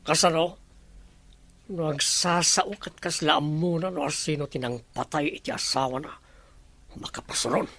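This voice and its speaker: A man with an Ilocano accent playing an irritable, menacing master of the house in a radio drama, with a gravelly voice. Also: fairly high pitch, unhurried, long pauses, fairly bright tone, dry recording, exaggerated voice. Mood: annoyed, threatening.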